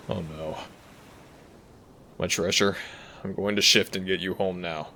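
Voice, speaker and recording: Man voicing a deep, earnest dragon prince. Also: a bit bright, slight background noise. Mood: alarmed. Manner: earnest, formal old-fashioned phrasing. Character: protective young male dragon prince, tender and worried. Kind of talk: romantic audio roleplay acting.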